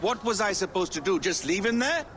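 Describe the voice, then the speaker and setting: An actor playing an earnest male rebel leader in a video game cutscene, intense and brotherly, swinging between hushed and shouted lines. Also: sits high, very animated, a little fast, a little hiss or hum. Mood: firm.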